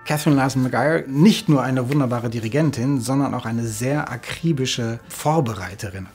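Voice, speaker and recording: A man's voice: mid-range voice, normal speed, darkish tone. A man who works as a composer and arranger, speaking in interview style about a music production. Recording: music underneath. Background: background music.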